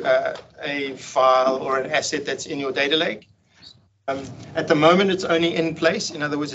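A man presenting a technical meetup talk over slides on a video call, explaining in a relaxed way with frequent ums and restarts. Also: mid-range voice. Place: home room on a video call. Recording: dull lo-fi video call audio with faint hiss.

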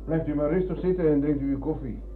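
A man's voice: fairly high pitch, fast, faint music behind, lightly acted. Band-limited pedantic man, played by an actor. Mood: casual. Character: pedantic, philosophising man of the house. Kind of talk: radio drama acting. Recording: muffled phone-like old radio, humming noise.

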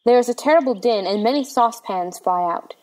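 Female narrator for a dramatized play, slightly high register, scripted delivery. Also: animated, brisk, a little mellow, low-quality recording. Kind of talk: scripted narration of stage directions.

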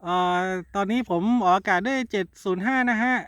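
A man's voice: sits high, fairly quick, a bit bright, no room sound, studio quality. A man in a casual amateur radio exchange, polite and hesitant, repeating his words. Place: outdoors in a garden.

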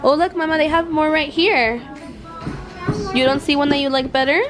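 A woman's voice: high-pitched, fairly even intonation, moderate pace, music in the background. Young woman vlogger, casual on-the-go chatter to viewers, handheld camera. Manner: chatty, filler-heavy, talks to viewers and kids. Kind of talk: casual vlog narration. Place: in a car, then outdoors in a village.

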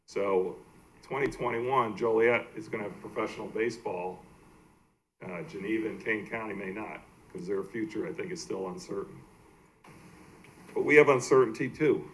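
An American man giving a slide presentation at a public meeting as a team executive, halting and plain-spoken. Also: on the low side, a little hiss or hum, poor audio. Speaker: baseball team executive presenting to a city council. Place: indoor council meeting room.

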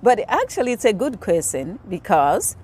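A woman's voice: mid-range voice, very animated, normal speed, darkish tone, slight background noise. A middle-aged woman with a Zimbabwean accent, talking to the camera on an outdoor patio in an earnest, explaining way with many fillers. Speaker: vlogger. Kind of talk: casual talk to camera.

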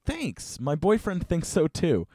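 A man's voice: on the high side, huge pitch swings, takes it slowly, darkish tone, no room sound, clean studio sound, pitched above the performer's own voice, exaggerated voice. A man voicing a young woman in a comedic reading of text messages, mock-sweet and dismissive.

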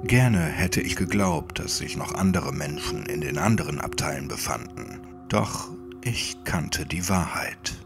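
A man narrating a scripted first-person horror story like an audiobook, in a measured, suspenseful manner. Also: a bass voice, animated, vocal fry, over music.

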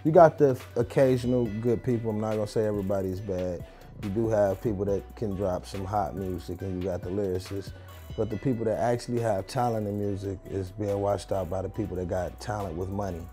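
Brief: young producer, low mellow voice, blunt and opinionated, faint music bed under the interview. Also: male voice, steady tone, a little fast. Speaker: producer and engineer. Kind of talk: documentary interview. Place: recording studio, indoors.

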